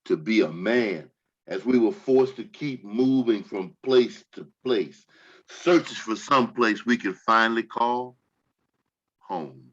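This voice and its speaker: A man in a staged play reading, playing a proud, protective grandfather spirit, once enslaved and a soldier, with dignified delivery. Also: on the low side. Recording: dull, muffled lo-fi audio.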